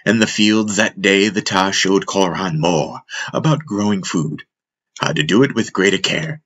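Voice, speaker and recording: A man narrating a fantasy audiobook in a measured, solemn, dramatic storytelling style. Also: low voice, a bit bright.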